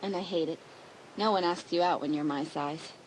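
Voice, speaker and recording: A woman's voice: fairly low pitch, normal speed, slight background noise, close to an everyday voice, low-quality recording. Woman playing a chatty, confiding teenage schoolgirl. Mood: friendly chatty. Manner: conversational, confiding. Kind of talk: dramatised audiobook acting.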